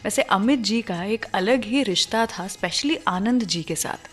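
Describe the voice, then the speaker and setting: A woman's voice: medium pitch, animated, a little slow. A woman hosting a radio music show, telling stories in a scripted but conversational way and mixing in English phrases. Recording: music under the voice. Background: background music.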